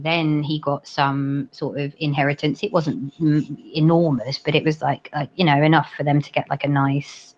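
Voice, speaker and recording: A woman in casual chat who tells rambling stories with plenty of fillers. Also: low voice. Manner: chatty, rambling with many fillers. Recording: dull lo-fi audio.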